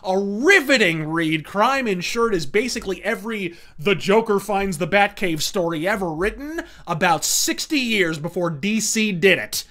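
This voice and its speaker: A man hosts an opinionated review with a bright, hard-edged voice. His delivery is theatrical and emphatic, built on ornate, wordy sentences. He swears freely and brashly as he goes. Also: high-pitched, expressive, moderate pace, few pauses. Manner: profane, brash. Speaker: host of a comics and pulp review series. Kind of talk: scripted opinionated review commentary.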